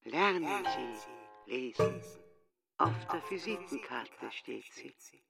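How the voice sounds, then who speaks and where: Slow, creaky, aloof chess champion. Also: male voice, mid-range voice, lively intonation, loud music behind the voice. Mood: quietly condescending. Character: weary, dismissive world chess champion. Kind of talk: radio play acting.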